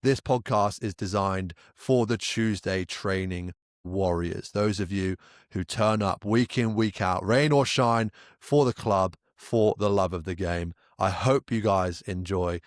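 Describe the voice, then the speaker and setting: A man's voice: deep voice, unhurried, dry recording, clean studio sound. A man hosting a podcast, opening with a scripted introduction and then chatting casually with a guest. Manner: upbeat, promotional, addresses listeners directly. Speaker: podcast host.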